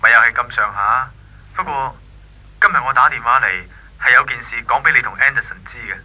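A reserved, wistful young man in a 1980s radio drama. His mid-pitched voice is very sharp and rather husky through a telephone filter, with a Hong Kong accent. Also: brisk, poor audio. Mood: wistful, resigned. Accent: Hong Kong Cantonese. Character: earnest, wistful young male family friend, courteous and reserved.

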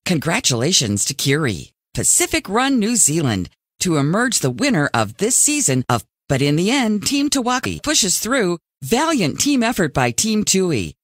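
Game role: theatrical female reality show announcer, low pitch, sweeping intonation, dry sound. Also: huge pitch swings, normal speed, no echo. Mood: grandiose. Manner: theatrical, proclamatory. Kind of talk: dramatic TV announcer in a video game.

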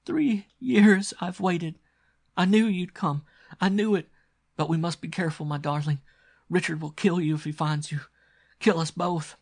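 A man's voice: sits high, a little slow, vocal fry, pitched above the performer's own voice, exaggerated voice. A man voicing a passionate, frightened young captive woman in an adventure story, with a pleading, melodramatic delivery. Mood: tearful relief.

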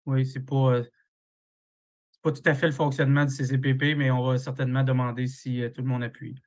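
A man's voice: medium pitch, completely flat delivery. A man chairs a formal meeting over a video call, moving through procedure with frequent fillers. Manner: procedural, halting with many fillers.

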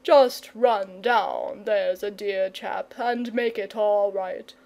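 A woman voicing a lazy, gentle old male dragon who drawls his words slowly and mildly. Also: medium pitch, steady tone, creaky. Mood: drawling. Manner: slow, drawling, mild.

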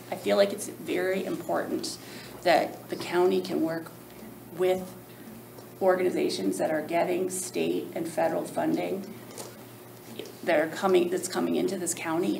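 An older woman speaking haltingly and informally as she makes a request at a public hearing. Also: on the low side. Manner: halting, hesitant. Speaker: organization representative making a budget request. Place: indoor public meeting room. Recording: roomy echo, clear background room noise.

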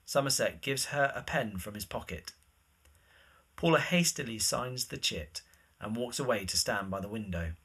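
Bright, husky male narrator. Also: medium pitch, lively intonation, normal speed. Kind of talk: scripted narration of screenplay directions.

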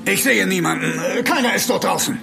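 A man's voice: high-pitched, animated, fairly quick, creaky, music in the background, heavily acted. A man acting a stern, suspicious, protective older brother in a German audio drama, curt and commanding.

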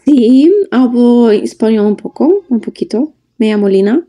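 Eine Frau in ihren Zwanzigern, die gesprächig über einen Laptop-Videoanruf erzählt und über ihre eigenen Verwechslungen lacht. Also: female voice, a little high, normal speed, dark tone. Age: 20s. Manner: chatty, laughs at her own mix-ups. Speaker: video chat stranger. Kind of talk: casual chat. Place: room indoors.

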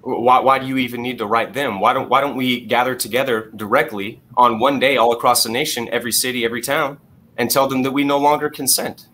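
A man's voice: mid-range voice, steady tone, fast, pushed and bright, a little hiss or hum. A man in his thirties arguing insistently on a video call through a headset, with stumbling restarts and repeated phrases. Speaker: guest. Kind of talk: heated debate on a video call. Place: small room at home.

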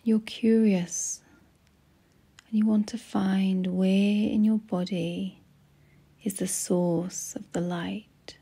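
Soft, breathy woman guiding meditation very slowly. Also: mid-range voice, fairly even intonation. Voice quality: soft, breathy, often near a whisper. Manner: gentle, soothing, unhurried with long pauses. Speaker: guided meditation narrator. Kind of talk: slow guided meditation narration.

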